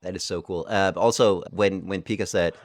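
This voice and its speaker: A man co-hosting a podcast, telling stories in a halting way, with many fillers. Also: low voice, steady tone, no room sound, clean studio sound. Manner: hesitant, many uh fillers, storytelling. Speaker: podcast co-host. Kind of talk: casual podcast chat.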